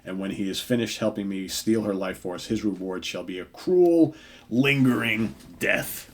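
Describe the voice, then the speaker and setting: Low, scheming robot villain read out by a man. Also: moderate pace, slight background noise, natural voice. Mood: cruel, scheming. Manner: theatrical, grandiose phrasing. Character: scheming villainous male robot, gloating and grandiose.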